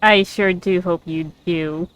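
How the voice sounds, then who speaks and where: A woman's voice: on the low side, fairly even intonation, slow pace, noisy background. A woman giving casual gameplay commentary as a streamer, with a giggly way of talking. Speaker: game streamer.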